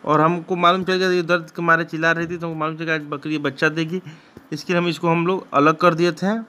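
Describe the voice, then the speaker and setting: Guy talking over his goat farm video, kinda high bright voice, rambles and repeats himself. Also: little pitch movement, fast talker. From Bihar, India. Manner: rambling, repetitive, frequent restarts. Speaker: goat farmer and channel host. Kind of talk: casual narration over farm footage.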